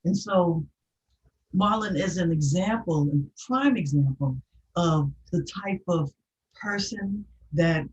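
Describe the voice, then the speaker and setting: A woman host speaking earnestly and off the cuff, in a halting way with repeated phrases, on a distant, lo-fi recording. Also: on the low side, darkish tone. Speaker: host of a poetry platform. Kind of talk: earnest unscripted remarks. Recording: distant mic, dull lo-fi sound.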